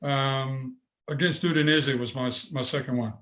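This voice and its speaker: A man's voice: medium pitch, fairly even intonation, moderate pace, a bit bright. Man with a big voice. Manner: rambling anecdotes with many restarts. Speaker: former goalkeeper, guest. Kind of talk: storytelling interview.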